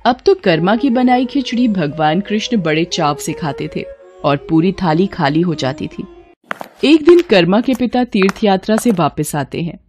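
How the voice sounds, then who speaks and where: A woman's voice: medium pitch, animated, normal speed, darkish tone, faint music behind. A woman narrator reading a scripted devotional story.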